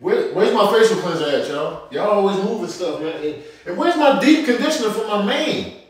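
A man's voice: high-pitched, sing-song, moderate pace, very echoey, far from the mic, voice pushed up, poor audio. A man voicing a vain, flustered horse in a comic voiceover, complaining fussily and repeating himself. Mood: flustered, irritated. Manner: fussy, repetitive complaining. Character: flustered, vain male horse running late.

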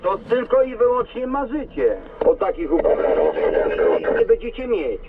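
A man comes through a narrow, very noisy CB radio link, sounding distant. His voice is high, and he lectures in a casual, sweary rant as a driver on the road. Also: wildly swooping pitch, unhurried, creaky. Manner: profane, lecturing. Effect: CB radio transmission. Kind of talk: ranting casual CB radio chat.

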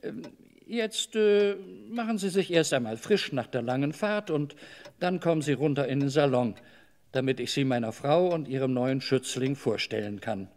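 A man's voice: mid-range voice, expressive, moderate pace, darkish tone, close to an everyday voice. A man playing an evasive, overly cordial middle-aged master of a house in a German radio drama, wordy, hesitant and patronizing. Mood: jovial, fussy.

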